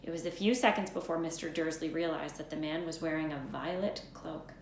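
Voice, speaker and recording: Lower-voiced woman reading a story, echoey. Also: fairly even intonation, fairly quick. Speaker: read-aloud storyteller. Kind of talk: expressive scripted storybook narration. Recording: echoey room, faint background noise.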